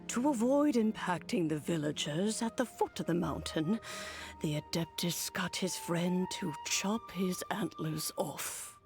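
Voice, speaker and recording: An actress playing a composed, wistful woman in a video game, telling an old legend in a measured, reflective way. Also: fairly low pitch. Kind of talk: video game character acting.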